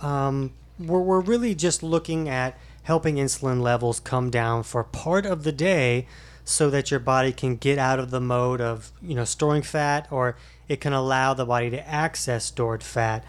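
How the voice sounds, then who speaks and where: A man's voice: medium pitch, moderate pace, clear, resonant tone. A middle-aged man co-hosting a casual podcast, explaining diet advice in an easygoing way with frequent fillers. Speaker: podcast co-host, fitness coach.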